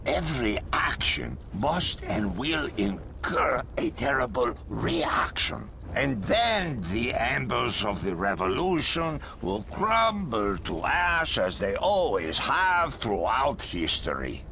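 Gravelly, pompous dictator general. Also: male voice, medium pitch, sing-song, background noise, exaggerated voice. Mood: cold menace. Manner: pompous, deliberate, theatrical, formal phrasing. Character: brutal middle-aged male island dictator general, grandiose, menacing. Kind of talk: dramatic video game cutscene acting. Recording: music behind the voice.

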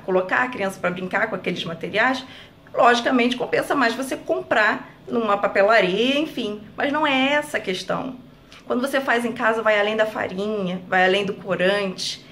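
A woman's voice: mid-range voice, fairly bright tone, slight background noise. A young woman from Brazil hosting a home craft vlog, chatting and encouraging parents in a casual tutorial style. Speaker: craft channel host. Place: home kitchen, indoors. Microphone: camera at close range.

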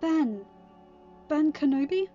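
A woman voicing an eager, impulsive teenage farm boy in an earnest, excitable manner for a story reading. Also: high voice, takes it slowly, voice pushed up. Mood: curious, eager. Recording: dull lo-fi recording with music behind.

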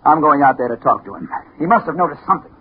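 An American actor playing a dogged, hard-boiled newspaper reporter in a 1950s radio crime drama, with punchy, urgent delivery. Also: male voice, fairly high pitch, expressive, fast talker, lightly acted. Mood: brisk, insistent. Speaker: actor narrating and playing the lead. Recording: tinny, noisy 1950s radio recording.

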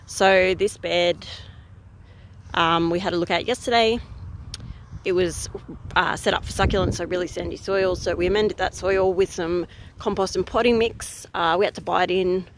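A woman's voice: fairly low pitch, fairly even intonation, normal speed, slight background noise. A woman, casually walking through her garden outdoors and talking into a handheld phone, with frequent ums as she thinks aloud. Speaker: gardening vlogger. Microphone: phone held at arm's length.

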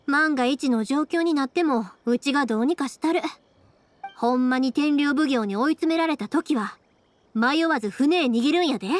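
A woman playing a cheerful, caring, energetic young fireworks maker with a Kansai accent in an anime game. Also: high-pitched, moderate pace, no echo, lightly acted. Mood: earnest, concerned.

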